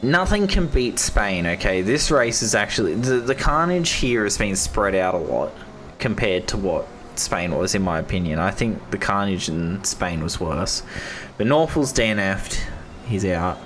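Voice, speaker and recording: Young Aussie caster, deep and hoarse, upbeat slangy race calls. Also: male voice, lively intonation, measured pace, a bit bright, slight background noise. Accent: Australian. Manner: enthusiastic, repeats praise, casual slang. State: hoarse, losing his voice. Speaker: esports race commentator. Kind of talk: live race commentary.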